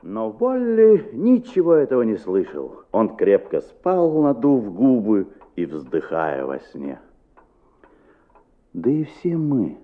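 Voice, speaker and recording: A man's voice: fairly low pitch, huge pitch swings, takes it slowly, narrow, tinny audio, exaggerated voice. A man acting as the reflective narrator of a children's radio play, telling the tale expressively. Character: warm reflective male storyteller of a children's tale.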